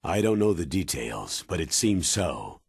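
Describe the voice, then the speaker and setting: An actor playing a grave, authoritative older military commander in a video game, speaking in a deliberate, dramatic and emphatic way with a husky voice. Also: male voice, low-pitched, sing-song, no echo, clean studio sound, exaggerated voice. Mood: serious, confiding. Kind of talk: video game cutscene acting.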